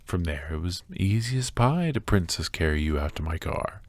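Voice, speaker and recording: A man playing an obsessive, doting and sinister kidnapper in an ASMR roleplay, speaking softly and haltingly with breathy whispers and sighs. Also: low voice, lively intonation, dry recording. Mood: smug, calm. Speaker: voice actor.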